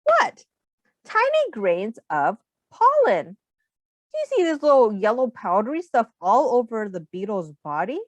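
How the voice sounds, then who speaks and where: A woman with a high, hugely varied voice reads aloud to young children. She teaches as she goes, taking her time, explaining in simple terms and putting questions to the listeners. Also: measured pace, no echo. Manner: instructive, expressive, addressing young children with questions.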